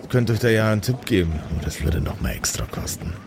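A shady cleric, played by a man, speaks in a very low voice. He is smug and insinuating and talks like a streetwise fixer in an improvised audio drama. The recording has clear background noise. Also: animated, fast, natural voice. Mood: sly, threatening. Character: shady male cleric, smug, calling in favors.

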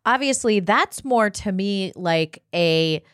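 A woman's voice: medium pitch, lively intonation, takes it slowly, a bit bright, smooth, dry recording, studio quality. A woman co-hosting a podcast, talking in a casual, conversational way. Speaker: podcast guest co-host.

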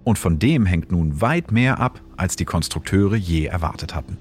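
Low-voiced man explaining science. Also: faint music behind. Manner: calm, explanatory, measured. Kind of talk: scripted science narration.

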